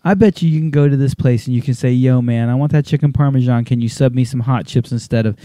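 A man's voice: mid-range voice, quick pace, dark tone, no echo. A man with a Southern American accent, co-hosting a casual chat with loose, joking banter.

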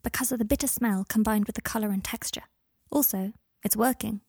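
A woman's voice: medium pitch, fairly quick, darkish tone, slightly rough, no room sound, studio quality. A woman voicing an anxious, clever young boy who is fiercely protective of his father, in an earnest, pleading way. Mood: precocious, proud.

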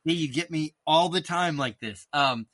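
A man's voice: on the high side, moderate pace, a bit bright, no room sound. A middle-aged man, a guest author in a casual interview, rambling and self-deprecating as he drifts off on tangents.

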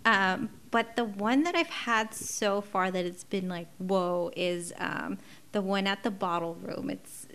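Young woman therapist, chatty podcast guest, laughs easily, lots of "like" fillers, medium-pitched voice. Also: a little slow, a bit bright. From Southern California, born in Mexico. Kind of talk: casual podcast chat.

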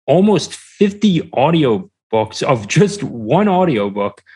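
A young man answering as an interview guest, talking casually in a hesitant, halting way. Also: on the high side, big pitch swings, a little mellow, breathy edge.

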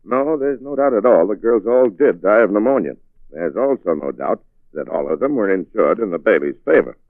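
Earnest case-builder, low voice, lively intonation, emphatic, old radio drama, narrow phone-like band. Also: male voice, moderate pace, no room sound. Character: earnest, insistent investigator laying out a case. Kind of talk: 1940s radio acting. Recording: muffled 1940s radio recording, phone-like band.

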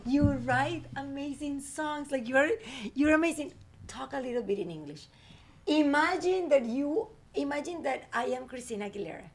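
A woman's voice: high voice, lively intonation, normal speed, slight background noise. Playful teasing woman host. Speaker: podcast host. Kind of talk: casual interview chat.

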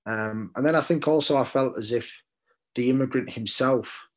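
A man's voice: mid-range voice, normal speed. A man from London with a British accent, speaking as a poet in a reflective interview, thoughtful and hesitant, often repeating words as he searches for the right one. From London, England.

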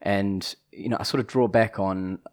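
A man in his thirties with a New Zealand accent, chatting as a podcast guest, often restarting and pausing with fillers. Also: fairly low pitch, steady tone. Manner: hesitant, many restarts and fillers. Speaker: podcast guest, chief commercial officer. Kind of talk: casual podcast conversation.

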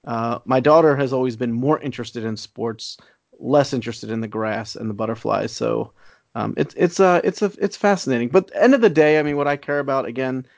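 Male co-host, 40s, dry and reflective, deadpan humor, close and slightly muffled. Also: medium pitch, creaky, no room sound. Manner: wry, measured, reflective. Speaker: podcast co-host. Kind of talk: casual chat. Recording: dry, slightly dull lo-fi sound.

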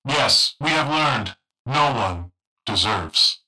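A man voicing an obedient robot that learns from humans, speaking in a measured, literal way in a tabletop game. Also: mid-range voice, expressive, bright, forceful tone, exaggerated voice, poor audio. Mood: deadpan.

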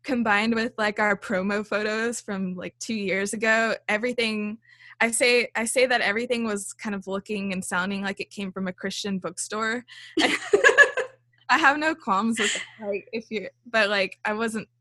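A young woman with a small, light voice talks about her band in a relaxed interview. She rambles with fillers and laughs often. The sound is dry and close. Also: medium pitch, normal speed, dry recording. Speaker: band leader and songwriter. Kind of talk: casual interview chat.

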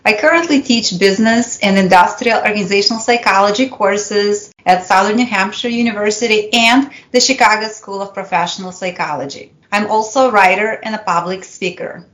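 A woman in her fifties, a university lecturer whose first language is Russian, speaking with a Russian accent and telling a prepared personal story in a measured, earnest way. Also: mid-range voice, fairly bright tone. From Soviet Union.

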